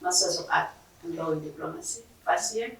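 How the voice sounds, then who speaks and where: A woman's voice: fairly low pitch, fairly quick, far from the mic, slight background noise. A young woman from South Sudan, a graduate student, asks a long question from the audience, earnest and hesitant, with restarts. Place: library event room. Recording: very echoey room, voice from afar.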